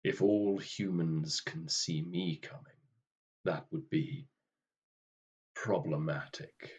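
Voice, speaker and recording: Guy acting grim reaper type, low husky voice, slow and formal, weary, radio play. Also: darkish tone, lo-fi sound, full character voice. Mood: dry, grave. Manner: formal, dry, understated.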